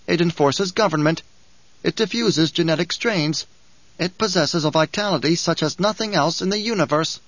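A man's voice: fairly high pitch, moderate pace, a bit bright, dry recording, highs cut off, voice pushed up. A man reading an audiobook, voicing a whining, spiteful male dwarf who taunts and giggles. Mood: taunting.

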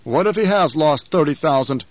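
A fat, cruel baron, as a man voices him, barks and blusters at full volume. His voice sits lifted and forceful. The sound is dry and dull. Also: fairly high pitch, expressive, moderate pace, no echo, lightly acted. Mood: blustering. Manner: loud, blustering, barking.

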